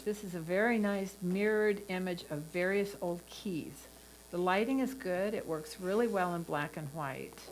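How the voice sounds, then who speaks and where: Over faint noise and hum, a woman with a fairly low voice delivers a prepared critique of photographs. She speaks as a competition judge assessing each image. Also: low-quality recording.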